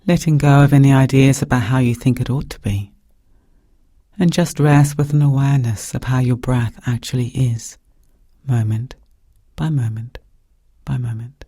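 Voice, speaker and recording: A man with a soft, breathy voice guiding a meditation in calm, gentle, measured phrases with pauses. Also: medium pitch, no room sound, clean studio sound. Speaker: meditation guide. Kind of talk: guided meditation narration.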